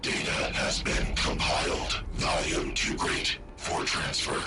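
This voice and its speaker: An actor playing a cold, commanding ancient machine intelligence in a video game, speaking in terse, imperious pronouncements. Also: male voice, extremely low pitch, flat delivery, slow. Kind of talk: video game villain acting. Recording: loud music under the voice.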